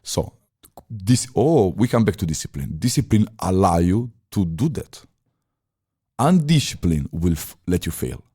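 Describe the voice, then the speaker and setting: A deep, mellow-voiced Italian man in his thirties talks as a podcast guest. His English is halting and filled with pauses and restarts, but he speaks firmly. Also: big pitch swings, husky. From Italy. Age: 30s. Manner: halting non-native phrasing with many fillers and restarts. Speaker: podcast guest, former chef. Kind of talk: casual interview talk.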